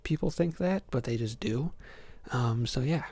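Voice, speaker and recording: A young man from Ohio hosting a soft-spoken ASMR Q&A, answering questions hesitantly with many ums. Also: medium pitch, expressive, measured pace, breathy edge, no echo. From Ohio, USA. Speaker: ASMR channel host.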